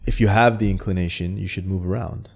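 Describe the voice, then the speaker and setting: Deep, gravelly male founder as interview guest, loose and chatty with restarts. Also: quick pace. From Canada. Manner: relaxed, many fillers and restarts. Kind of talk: casual interview talk.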